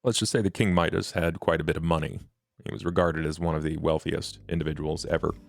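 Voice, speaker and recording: A man playing a very deep, gravelly vampire financier. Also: flat delivery, no room sound, studio quality. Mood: wry, cautious. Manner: measured, formal, understated. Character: shrewd, composed male vampire financier. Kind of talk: in-character tabletop roleplay.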